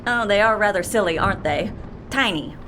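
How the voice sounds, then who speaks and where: A woman playing a brisk, talkative, blunt pilot and death-faking agent in an audio drama, with a wry, animated manner. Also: mid-range voice, a little hiss or hum, close to an everyday voice. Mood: chatty, teasing. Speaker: actor in an audio fiction series.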